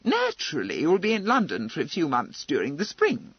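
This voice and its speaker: A man's voice: on the high side, huge pitch swings, fairly quick, slightly rough, no room sound, lo-fi sound, full character voice. A man voicing an insistent, managing middle-aged society mother in a storybook reading. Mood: smoothly correcting.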